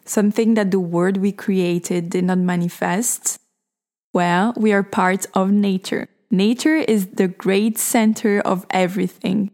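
A woman hosting a podcast, reading a calm, reflective scripted narration. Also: medium pitch. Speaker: podcast host.

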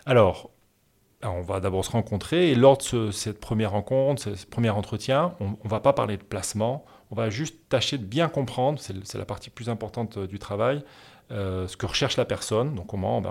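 A man working as a wealth management advisor, answering interview questions in a measured, explanatory way with frequent hesitations. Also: on the low side, vocal fry, a little mellow.

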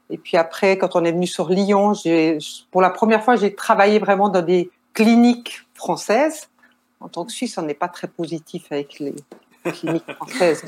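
A woman in her sixties with a Swiss French accent, a nurse and counselor answering interview questions in a hesitant way, with many fillers and restarts. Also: mid-range voice. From Switzerland. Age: 60s. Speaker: guest nurse and marriage counselor.

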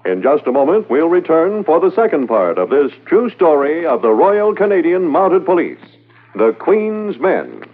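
A man's voice: fairly high pitch, sing-song, normal speed. A man announcing a 1950s radio drama in an emphatic, declamatory way, on a thin, tinny transcription.

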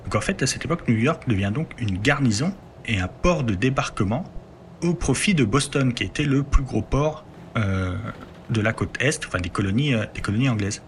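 A man telling history in a conversational podcast, explaining at length and filling pauses as he builds his sentences. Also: mid-range voice, expressive, slight background noise. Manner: explanatory, leans on filler words while building sentences.

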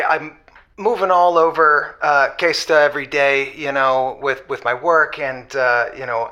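An actor playing a sheepish, hopelessly romantic husband calling a radio show in a comedy audio drama, rambling haltingly and repeating himself. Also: male voice, medium pitch, bright, forceful tone. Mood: eager, sheepish.

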